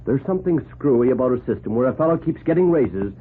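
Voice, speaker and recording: A man's voice: medium pitch, animated, quick pace, breathy edge. An actor in a 1940s radio drama playing a disgruntled but fair-minded working man, blunt and earnest. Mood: earnest grumbling. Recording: muffled, narrow old 1940s radio recording.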